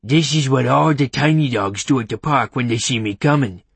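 A man's voice: mid-range voice, moderate pace, vocal fry, slightly rough, dry recording, voice pushed up. A man voicing a playful, mischievous pet dog in an audiobook, with a cheeky, conspiratorial manner. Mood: proud.

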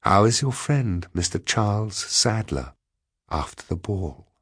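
A man's voice: fairly low pitch, big pitch swings, measured pace, a bit bright, husky, no echo, studio quality, full character voice. A man voicing a sinister, bitter woman who taunts with cold passion in an audiobook story. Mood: mocking.

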